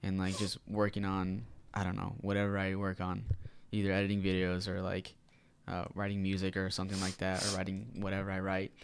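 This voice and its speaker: A man's voice: low voice, completely flat delivery, brisk, few pauses, slight background noise. A young man, a native Spanish speaker, co-hosting a podcast in informal, slangy, casual conversation. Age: young adult. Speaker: podcast co-host. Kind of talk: casual chat.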